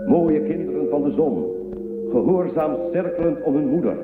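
An actor playing an ancient, solemn guardian of the past, a being of light, telling things slowly and grandly in a science-fiction audio drama. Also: male voice, on the high side, lively intonation, close to an everyday voice. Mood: solemn wonder. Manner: slow, grand storytelling. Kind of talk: science-fiction radio play acting. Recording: long cavernous reverb, narrow muffled band. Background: loud music.

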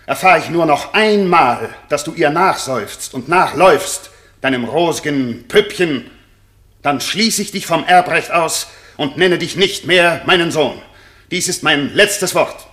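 A man's voice: fairly high pitch, a bit bright. An old imperious king in a radio drama, takes his time, voice swings up and down a lot. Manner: declamatory, deliberate. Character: grey-bearded king in disguise, wrathful, threatening father. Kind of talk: German radio play, classical stage verse.

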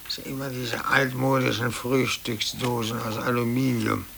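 A man's voice: mid-range voice, flat delivery, normal speed, creaky, pushed and bright. An actor playing a grumbling, aggrieved older male office clerk with a sarcastic manner in a 1950s German radio play. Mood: muttering, gloomy. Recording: hissy old cassette copy of radio broadcast.

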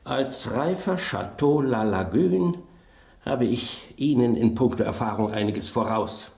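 An actor playing a vain, refined old red wine who speaks pompously and savours words, in a German radio drama. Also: male voice, medium pitch, lively intonation, unhurried, vocal fry, darkish tone, roomy sound. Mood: smug.

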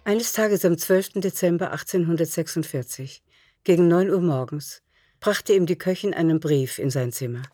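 A woman's voice: lowish voice, moderate pace, warm tone. A woman reading scripted literary narration for a radio drama. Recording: music behind.

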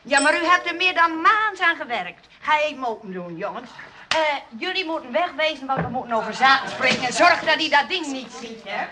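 A woman playing a brisk, motherly and commanding rest-home matron in a Dutch children's radio play. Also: high-pitched, lively intonation, a crowd in the background. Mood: bossy, hurried. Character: bossy but caring matron of a chaotic rest home.

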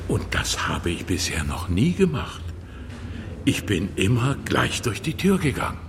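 Deep, gravelly elderly exiled poet. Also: male voice, very animated, measured pace, noisy background, heavily acted. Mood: cheerful. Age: older. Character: elderly Russian exile poet, excitable, self-mocking, fatalistic. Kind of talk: audio drama acting.

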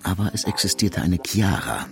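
An actor playing a dogged police detective in a radio crime drama, speaking in a measured, formal, probing way. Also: male voice, low voice, big pitch swings, creaky, exaggerated voice. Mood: matter-of-fact. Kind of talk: radio crime drama dialogue and first-person narration. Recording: music under the voice.

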